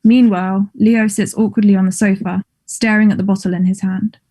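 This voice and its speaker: A woman's voice: medium pitch, flat delivery, a little fast, mellow. A woman reading stage directions as scripted narration for a play, in a matter-of-fact manner.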